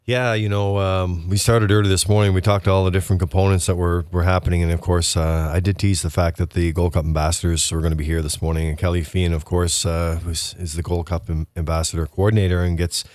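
Deep-voiced man rambling on radio. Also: little pitch movement, fast talker, hardly any pauses, a little mellow. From Prince Edward Island. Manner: rambling, many fillers and restarts. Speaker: racetrack representative guest. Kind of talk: casual radio interview.

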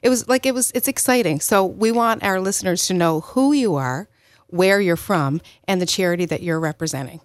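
A woman's voice: on the low side, brisk, dry recording. A woman co-hosting a morning radio show, enthusiastic and friendly.

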